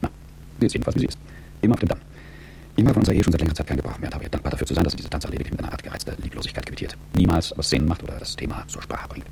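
Extremely low, creaky man's voice, that of a wealthy, middle-aged manager in a radio play. He unrolls his monologue very quickly, dry, sardonic and world-weary, in a dark tone. A faint hum sits behind him. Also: animated, full character voice, low-quality recording. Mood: detached, sardonic. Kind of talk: German radio drama monologue.

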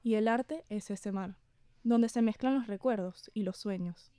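Audio drama role: actress for observant dreamer, a woman painter. Warm dark tone, musing delivery, dry sound. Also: medium pitch, unhurried, dry recording, close to an everyday voice. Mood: dreamy. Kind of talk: scripted audio drama dialogue.

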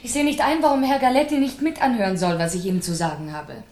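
A woman's voice: mid-range voice, steady tone, fast, darkish tone, close to an everyday voice. An actress playing a proud, defensive married woman suspected of murder, in a formal, dramatic 1970s German radio play style. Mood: resigned, stubborn.

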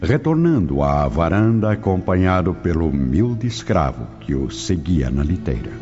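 A man with a very low voice narrates a radio drama solemnly. His delivery is expressive and melodic, set over clear music in a slightly dull recording. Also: moderate pace.

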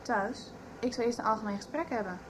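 A woman's voice: fairly high pitch, a little fast, slight background noise, lightly acted, poor audio. An actress playing a polite, direct young woman in a 1990s Dutch radio soap. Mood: polite, concerned.

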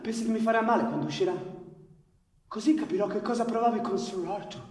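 A man acting an excitable small-time crook with a very high-pitched voice, teasing and theatrical. It is a radio play, recorded in a roomy space with a long reverb. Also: fast talker, recorded from a distance, a little hiss or hum, close to an everyday voice. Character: Victor, teasing, excitable small-time male robber and lover.

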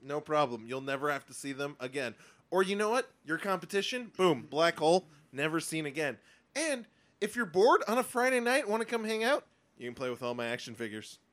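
A man improvising playful pitches in a party game on a podcast. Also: very animated, a little fast, a bit bright. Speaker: podcast co-host.

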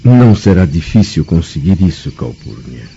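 An actor playing a grave, nostalgic Roman senator in a formal, solemn radio drama style. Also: male voice, low voice, big pitch swings, moderate pace, vocal fry, warm tone, faint music behind, exaggerated voice. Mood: pensive. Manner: solemn, declamatory. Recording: muffled, dull radio drama recording.

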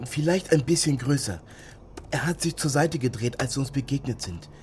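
A man's voice: medium pitch, lively intonation, normal speed, slight background noise, lightly acted. An actor playing a wary young man living illegally, in naturalistic radio drama dialogue and inner monologue. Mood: focused. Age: young adult.

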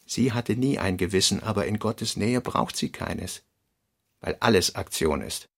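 A man's voice: lowish voice, expressive, a little slow, gravelly, fairly bright tone, husky. A man with a Standard German accent reading scripted, fragmentary literary prose in a radio production.

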